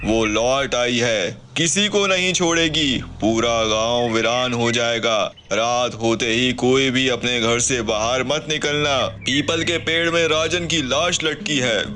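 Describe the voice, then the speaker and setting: A man's voice: medium pitch, takes it slowly, few pauses, sharp tone, slight background noise. A man playing an alarmed villager in a cartoon horror story, delivering warnings in an urgent, declamatory way. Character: alarmed male villager shouting warnings to everyone.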